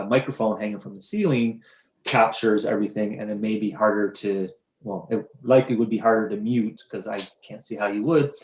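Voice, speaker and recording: A low-pitched man is on staff, presenting equipment options to a council. He explains at length with careful hedging and repeated restarts. His voice comes through a video conference with a roomy echo. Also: a little hiss or hum. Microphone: video conference mic.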